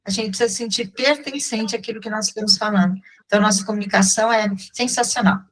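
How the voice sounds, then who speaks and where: A woman's voice: mid-range voice, steady tone, fast, rough recording. A woman with a Brazilian accent hosting a radio talk show, chatting casually with frequent hesitations and fillers. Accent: Brazilian Portuguese. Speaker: radio host.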